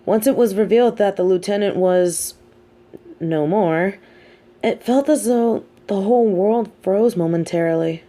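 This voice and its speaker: A woman playing a sardonic, scheming supervillain in a scripted audio roleplay, with a theatrical, measured, wry delivery and grudging sympathy. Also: medium pitch, mellow, lightly acted. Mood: probing, intrigued. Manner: theatrical, measured, wry, drops into muttered asides.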